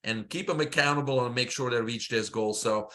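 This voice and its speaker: A middle-aged man from Belgium with a Belgian accent, a guest explaining his health work in a steady way, with frequent filler sounds. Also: mid-range voice, brisk. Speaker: guest, holistic health mentor. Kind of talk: interview answer, casual.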